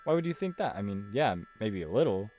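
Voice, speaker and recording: A man's voice: lowish voice, sing-song, brisk, darkish tone, faint music behind. A man voicing a weary, irritable but protective detective in a visual novel read-aloud. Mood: defensive.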